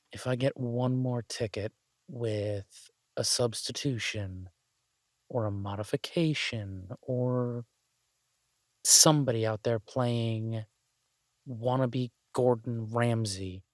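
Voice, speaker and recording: Exasperated chef boyfriend, male actor, mid-range, grumbling and halting, intimate roleplay monologue, very dry recording. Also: medium pitch, no echo, lightly acted. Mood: exasperated, ranting. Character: exasperated restaurant chef, affectionate boyfriend venting to partner. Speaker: audio roleplay performer.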